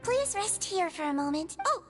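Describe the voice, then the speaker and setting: A woman's voice: very high-pitched, sing-song, moderate pace, soft background music. An actress playing a caring, fussing young female attendant in a video game.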